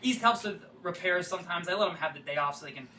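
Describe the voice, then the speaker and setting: Lonely, eager small-town ex-boyfriend played by a male actor, nervous rambler, bright voice, picked up from a distance. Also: high voice, expressive, fast talker. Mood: awkward, lonely. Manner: rambling, nervously repeating himself. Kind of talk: staged play reading, naturalistic dialogue. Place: indoor room at a staged reading. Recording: echoey room, distant mic, faint noise.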